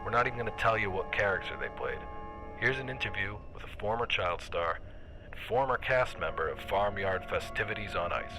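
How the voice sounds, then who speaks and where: Male actor as public radio host, lowish voice, measured scripted delivery, conspiracy-minded and composed, music bed. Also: lightly acted, low-quality recording. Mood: composed, intriguing. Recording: music behind the voice.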